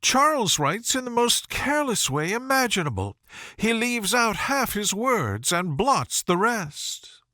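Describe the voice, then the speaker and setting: A gushing young lady of good family, acted out by a man for an audiobook. The voice is lifted high and very animated, fawning over every word and eager to charm. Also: measured pace, no room sound, studio quality, full character voice. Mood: teasing. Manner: effusive, fawning. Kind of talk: audiobook character voice.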